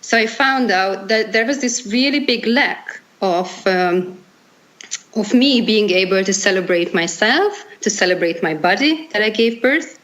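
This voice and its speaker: A woman in her thirties from Hungary with a Hungarian accent, a non-native English speaker telling her story as an interview guest in an earnest, halting way with many restarts. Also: mid-range voice, a bit bright. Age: 30s. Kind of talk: casual interview chat.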